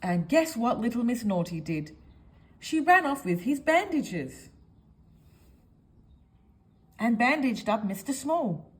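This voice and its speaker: Female storyteller for a children's picture book, expressive, highly varied intonation, speaks straight to young listeners. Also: slow, pauses often.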